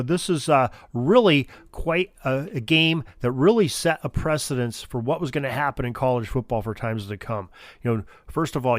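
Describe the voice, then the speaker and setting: A man's voice: medium pitch, dry recording, clean studio sound. A man hosting a sports history podcast, chatty and informal, talking straight to his listeners. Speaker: podcast host.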